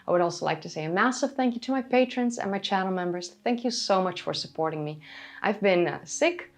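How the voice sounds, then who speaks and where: A woman hosting a history channel, giving scripted educational narration in a raspy, hoarse voice after being ill. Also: medium pitch, expressive, a little fast. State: hoarse from recent illness.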